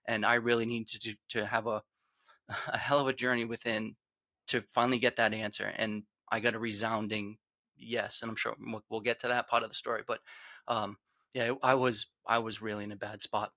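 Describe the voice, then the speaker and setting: A man's voice: fairly low pitch, little pitch movement, normal speed, dry recording. A man in his forties with a Rhode Island accent, earnestly telling his personal story as a podcast guest. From Rhode Island, USA. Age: 40s. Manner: earnest, reflective, trails off quietly.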